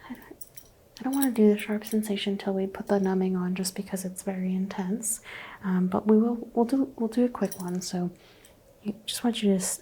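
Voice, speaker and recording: Softly whispering woman, mellow voice. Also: medium pitch, breathy edge. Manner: soft whispering, gentle step-by-step instructions. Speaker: ASMR creator playing a doctor. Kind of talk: ASMR doctor roleplay.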